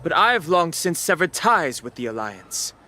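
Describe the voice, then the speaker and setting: An actor playing a stern, righteous spear-wielding wanderer in a video game, with a grave, formal, measured delivery. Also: male voice, a little high, sing-song, bright, forceful tone, heavily acted. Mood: calm, determined. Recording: game music behind the voice.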